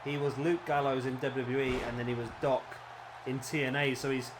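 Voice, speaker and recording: Hoarse man, casual play-by-play, rambling, mid-pitched, loud background noise. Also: brisk. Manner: relaxed, rambling, with fillers and self-corrections. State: slightly hoarse, losing his voice. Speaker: gameplay commentator. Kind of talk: casual play-by-play game commentary.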